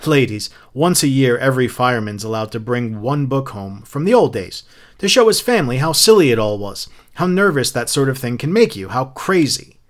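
A man's voice: mid-range voice, big pitch swings, normal speed, lightly acted. A man voicing a bossy, nervous housewife in a storybook reading, chattering and coaxing with false sweetness. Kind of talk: audiobook character acting.

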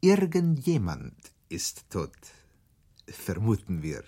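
A man's voice: fairly low pitch, huge pitch swings, slow pace, mellow, husky, full character voice. Sly Italian policeman, wildly swooping intonation. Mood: probing. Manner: probing, suavely polite. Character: sly, courteous Italian male police investigator. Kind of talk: radio play acting.

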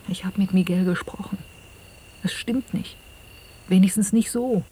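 An actress playing a troubled, soft-spoken woman in an intimate radio drama, often hushed to a near-whisper as she confides and narrates. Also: medium pitch, steady tone, a little slow, close to an everyday voice. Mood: helpless, torn. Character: troubled, soft-spoken woman recounting an entangled love affair. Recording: hissy old cassette radio-play recording.

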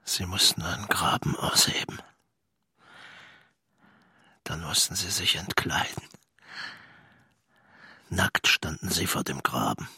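An actor playing a bitter, menacing former Nazi officer in a radio drama, husky and swinging between whispers and outbursts. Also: male voice, deep voice, slow, pauses often, sharp tone, no room sound, studio quality, full character voice. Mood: grim, brooding. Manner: erupts between hissed whispers and shrieks.

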